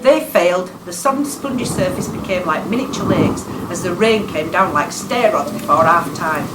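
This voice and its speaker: A woman's voice: on the low side, animated, normal speed, natural voice. A woman playing a grave reader of old newspaper match reports in a radio play. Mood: grave, dramatic. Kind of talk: dramatic reading of newspaper reports. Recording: echoey, distant from microphone. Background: guitar music.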